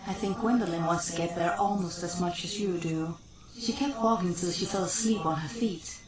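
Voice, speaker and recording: A woman's voice: on the low side, normal speed, roomy sound, crowd noise, natural voice, poor audio. A woman playing a level-headed, blunt ghost in a Western audio drama, with an American accent and wry delivery. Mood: matter-of-fact. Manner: wry, matter-of-fact.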